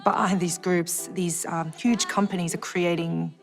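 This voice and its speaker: Gravelly-voiced woman in an interview. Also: lowish voice, fairly even intonation, a little slow, loud music behind the voice. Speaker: singer, interviewee. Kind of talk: casual interview.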